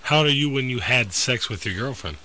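A man's voice: mid-range voice, animated, brisk, pushed and bright. A man on a radio show panel, tossing in blunt wisecracks and questions.

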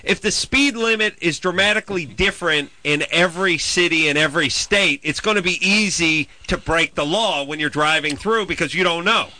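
Man, radio host, very bright voice, comedic riffing, loose banter. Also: on the high side, moderate pace, hardly any pauses. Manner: joking, animated, riffing with many restarts.

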